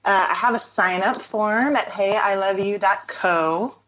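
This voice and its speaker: A woman talking casually as an interview guest, relaxed, with frequent fillers and easy laughter. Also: medium pitch, a little slow, lo-fi sound. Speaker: interview guest, writer and songwriter.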